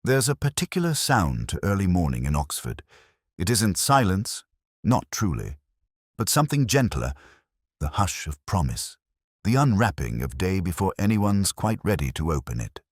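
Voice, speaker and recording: A man playing an ageing, weary police detective, narrating an audio drama in a measured, literary, wry and reflective way. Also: deep voice, sing-song, breathy edge, dry recording, clean studio sound, full character voice.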